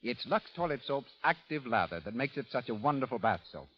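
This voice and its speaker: A man's voice: mid-range voice, very animated, a little fast. An American man reading a scripted commercial as a 1930s radio announcer. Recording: muffled, noisy old radio recording.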